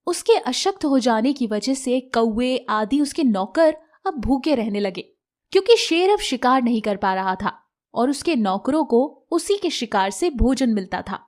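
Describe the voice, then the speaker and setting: A woman's voice: a little high, a little mellow. A woman narrating a scripted children's animal fable as a storyteller.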